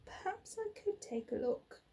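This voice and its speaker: A woman's voice: very high voice, sing-song, unhurried, dark tone, pitched above the performer's own voice, heavily acted. A woman voicing a timid, earnest young scout boy in a storybook, hesitant and muttering. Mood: sheepish.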